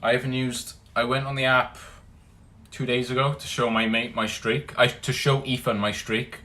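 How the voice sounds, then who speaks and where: A young Welsh man hosting a casual livestream, greeting viewers by name and chatting loosely, loud when he greets people and low and mumbled when he muses. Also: flat delivery, moderate pace. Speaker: livestream host. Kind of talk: casual livestream chat reading comments.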